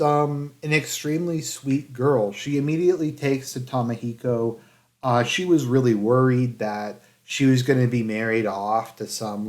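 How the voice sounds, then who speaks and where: A man giving casual review commentary in a halting, hedging way, with phrases that trail off. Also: medium pitch. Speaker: anime reviewer.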